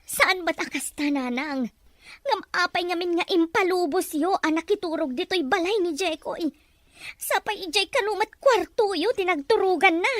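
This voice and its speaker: Protective middle-aged Ilocano mother for radio melodrama, played by an actress, anxious, insistent, very high-pitched. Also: normal speed, fairly bright tone, no echo. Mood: indignant. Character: worried, protective mother and aunt, gentle but insistent.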